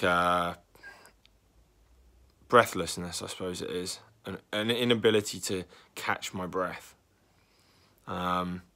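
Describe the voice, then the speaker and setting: A young man talking anxiously in a casual vlog, congested and a little raspy from a cold, clearing his throat often. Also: low voice, pushed and bright. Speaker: vlogger.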